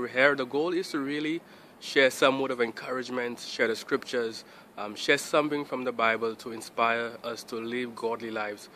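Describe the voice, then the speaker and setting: Young man, host, bright forceful voice, outdoors, earbud mic, faint traffic. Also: medium pitch. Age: young adult. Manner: friendly, with ums. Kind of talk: casual vlog outro. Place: outdoors by a building. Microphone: earbud mic, phone at arm's length.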